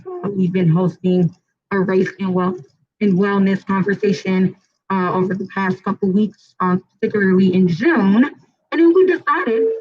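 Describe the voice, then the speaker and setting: A woman with a raspy, hoarse voice moderates a casual panel at a community event. She talks in an upbeat, chatty way and often pauses on fillers. Her voice sits at a middle pitch, and the recording sounds dull and lo-fi. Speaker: panel host and fitness trainer.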